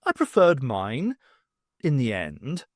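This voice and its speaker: A man's voice: mid-range voice, huge pitch swings, takes it slowly, no echo, clean studio sound. Man playing a pedantic older history professor. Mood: consoling.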